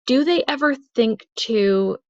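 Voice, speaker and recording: A woman's voice: on the high side, animated, takes it slowly, a little mellow, no room sound. A woman leaving a casual question as a viewer on a voicemail recording. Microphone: phone voicemail. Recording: dull, lo-fi, dry voicemail.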